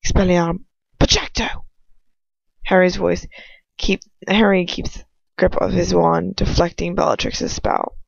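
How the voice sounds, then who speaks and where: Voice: medium pitch, level tone, unhurried, vocal fry, dry recording, highs cut off. An amateur reader telling a fan fiction story aloud in a casual manner, stumbling and breaking off for informal asides. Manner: casual, breaks off to comment and stumble over words.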